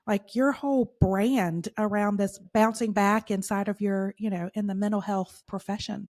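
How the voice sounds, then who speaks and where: A woman's voice: medium pitch, steady tone, a little mellow. A woman hosting a podcast, opening with a scripted intro and then chatting with an upbeat, encouraging manner. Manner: upbeat and encouraging, quick laughs. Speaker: podcast host.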